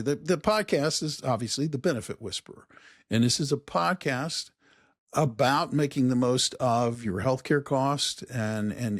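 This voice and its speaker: A man's voice: mid-range voice, no room sound, studio quality. A man interviewing a podcast guest in a friendly, chatty way, stumbling and restarting his sentences now and then.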